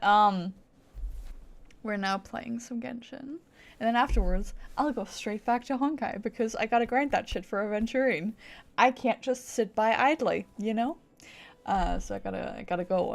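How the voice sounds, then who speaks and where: A woman livestreaming a video game, chatting casually to viewers in a playful, rambling, giggly way. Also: on the high side. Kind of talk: casual livestream chat with viewers while gaming.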